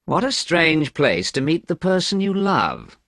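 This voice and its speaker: A man voicing a blunt, mocking gentleman in a storybook reading. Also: mid-range voice, wildly swooping pitch, takes it slowly, slightly rough, dry recording, studio quality, voice pushed up, full character voice. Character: sardonic gentleman, unkind, blunt.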